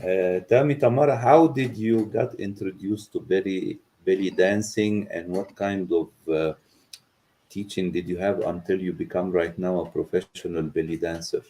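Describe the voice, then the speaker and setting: Guy hosting a video call interview, low voice, lots of uh pauses. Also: steady tone, warm tone. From Egypt. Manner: hesitant, many uh fillers. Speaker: interviewer, host.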